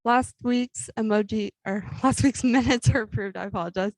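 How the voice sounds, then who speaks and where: A young woman chairing a student government meeting, running through the agenda in a brisk, lightly amused way. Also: fairly high pitch, darkish tone, no echo, low-quality recording. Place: meeting with a Zoom link.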